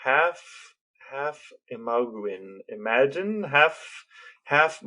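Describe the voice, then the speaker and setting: Wry man co-hosting, medium-pitched voice. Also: expressive, very slow, bright, forceful tone. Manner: wry, joking. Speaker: podcast co-host. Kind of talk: casual banter between brothers.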